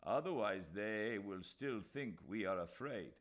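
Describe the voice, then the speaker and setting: A male reader voicing a measured, reasonable man arguing his point. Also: fairly low pitch, lively intonation, pitched above the performer's own voice.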